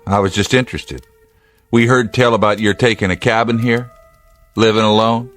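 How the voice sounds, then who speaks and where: An actor playing a steady, plain-spoken state trooper in a radio drama, measured and dry in manner. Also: male voice, lowish voice, soft background music, close to an everyday voice. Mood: polite, probing.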